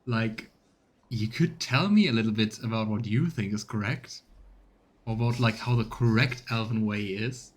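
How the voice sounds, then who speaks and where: A man's voice: on the low side, normal speed. Chatty man commenting on a game. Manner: chatty, musing, laughs easily. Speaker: let's play host. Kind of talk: casual gameplay commentary.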